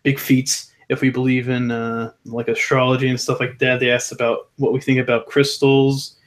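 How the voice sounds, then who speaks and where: Laid-back guy on a chat panel, lots of uhs and restarts, middle pitch. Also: level tone, moderate pace. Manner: hesitant, many fillers and restarts, easygoing. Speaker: panel co-host. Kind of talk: casual chat.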